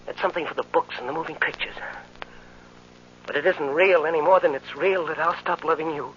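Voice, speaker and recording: A man's voice: fairly high pitch, brisk, fairly bright tone, lightly acted. An actor playing a tender, resigned young man condemned to death in 1940s radio drama, earnest and emotional, with plain working-man speech. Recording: muffled, noisy old radio recording.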